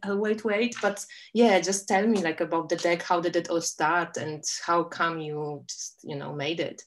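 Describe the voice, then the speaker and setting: Low-voiced woman host, rambling friendly questions. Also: steady tone, normal speed, a little hiss or hum. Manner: friendly, rambling questions full of "like" and "you know". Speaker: interview host. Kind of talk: casual interview questions.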